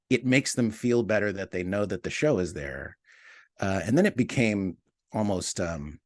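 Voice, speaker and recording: Man, low pitch, casual interview guest, reflective, circles back and rephrases, very dry sound. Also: dry recording. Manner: reflective, repeats and rephrases as he goes. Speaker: interview guest, podcast writer and producer.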